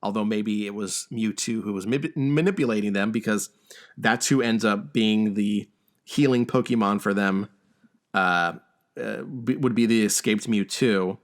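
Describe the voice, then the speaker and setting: Man casually recapping a film, halting delivery. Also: fairly low pitch. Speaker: podcast host.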